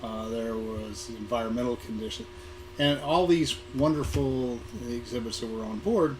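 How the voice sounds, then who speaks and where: Hoarse, halting American man over background noise. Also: mid-range voice, a little mellow. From Southern California. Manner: halting, with repeated words and long hesitations. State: hoarse, getting over an illness. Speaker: guest speaker, museum programming manager. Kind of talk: informal career talk, reminiscing. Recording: noisy live recording with room ambience.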